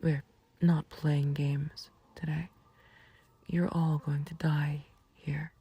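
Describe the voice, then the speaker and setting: A woman playing a calm, taunting villain in an intimate roleplay audio, speaking in a breathy near-whisper with unhurried condescension and mock sympathy. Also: low voice, heavily acted. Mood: cold menace. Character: calm, taunting female villain, menacing yet fond of a friend. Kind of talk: scripted roleplay audio acting, intimate ASMR.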